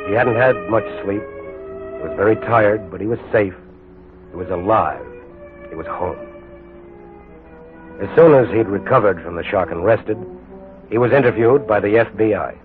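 An actor narrating a 1940s radio crime story in a solemn, dramatic, deliberate scripted style. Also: male voice, on the low side, natural voice. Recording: narrow-band 1940s radio recording.

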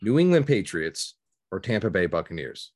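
A man's voice: low voice, big pitch swings, normal speed, darkish tone, slightly rough. A man hosting a podcast in casual interview chat, with polite, joking banter. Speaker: podcast host.

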